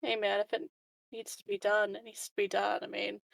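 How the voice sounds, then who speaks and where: A woman's voice: medium pitch, little pitch movement, brisk, gravelly, no room sound. A woman chatting casually as a player in a tabletop roleplaying game.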